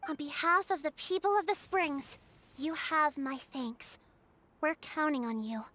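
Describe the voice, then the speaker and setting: Serious composed lady guard leader, game character, measured and polite. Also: sits high, expressive, sharp tone, a little hiss or hum, exaggerated voice. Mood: grateful. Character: earnest, composed woman leading a village defense. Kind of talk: video game voice acting.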